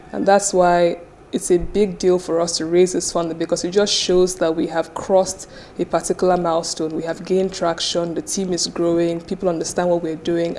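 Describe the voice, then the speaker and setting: A young woman with a Nigerian accent, a company founder answering interview questions with lively storytelling. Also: fairly low pitch, slight background noise. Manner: animated storytelling, acts out quoted voices. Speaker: business founder, guest.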